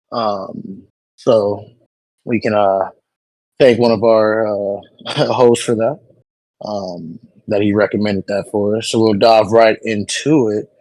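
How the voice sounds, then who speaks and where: A man's voice: fairly low pitch, darkish tone, no room sound, rough recording. A man hosting a casual album review chat, rambling with frequent ums and hesitations. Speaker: podcast host.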